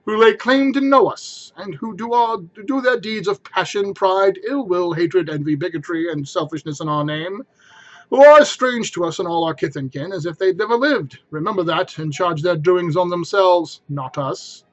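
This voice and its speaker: Man playing a booming, indignant giant Christmas ghost. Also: normal speed, highs cut off, lightly acted. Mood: stern, solemn. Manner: forceful, declamatory.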